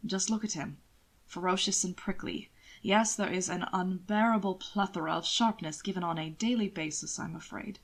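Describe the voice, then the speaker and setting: A woman voicing a sardonic, coolly polite aristocratic gentleman in an audiobook, with a droll, formal, understated delivery. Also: on the low side, moderate pace, gravelly. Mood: droll teasing. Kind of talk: audiobook character dialogue.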